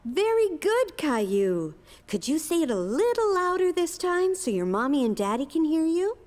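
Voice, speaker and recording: A woman's voice: high-pitched, sing-song, normal speed, darkish tone. A woman playing an encouraging schoolteacher who directs a children's play in a cartoon. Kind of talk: scripted children's cartoon acting. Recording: long hall reverb.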